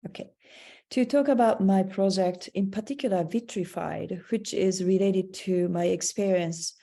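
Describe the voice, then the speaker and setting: A Japanese-born woman with a Japanese accent, an artist giving a halting online slideshow presentation over a video call. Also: mid-range voice, creaky, mellow. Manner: halting, searching for words. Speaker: artist presenting her work.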